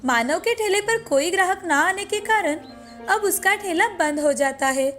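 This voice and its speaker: A woman narrates a moral cartoon tale in a steady, scripted storytelling manner. Her voice sits high, and faint music plays beneath her. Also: normal speed.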